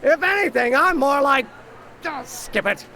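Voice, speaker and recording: A man's voice: extremely high pitch, expressive, unhurried, bright, forceful tone, exaggerated voice. An actor voicing a stubborn, melodramatic young male inventor in a video game, theatrical and emphatic. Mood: indignant.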